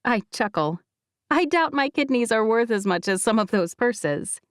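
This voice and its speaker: A woman narrating a first-person romance audiobook in a measured, conversational way. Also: a little high, expressive, smooth, dry recording, studio quality.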